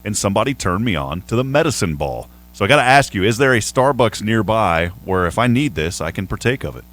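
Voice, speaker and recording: Low-voiced easygoing man on radio. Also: big pitch swings, fairly quick, fairly bright tone. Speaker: sports broadcaster. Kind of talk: casual radio sports chat.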